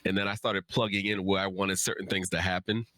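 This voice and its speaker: Male author for a casual Q&A; deep voice, dry close sound, informal rambling delivery. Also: little pitch movement, brisk, sharp tone, dry recording. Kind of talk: casual chat, answering audience questions.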